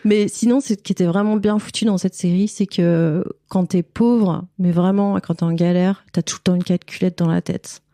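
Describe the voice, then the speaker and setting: A woman talks in a low, mellow voice, informal and candid, as a podcast guest. The sound is dry and close, like a relaxed conversation. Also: moderate pace, few pauses, no room sound. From France. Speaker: podcast guest, cleaning lady and visual artist. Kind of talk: casual conversational interview.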